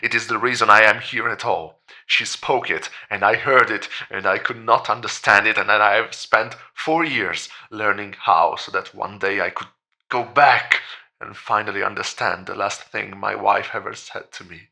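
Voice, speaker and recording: An actor playing an anguished, grieving widower in denial in a horror audio drama, halting and repeating his words in protest. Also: fairly low pitch, fairly even intonation, pushed and bright, exaggerated voice. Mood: anguished, defiant. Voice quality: tense, gravelly voice.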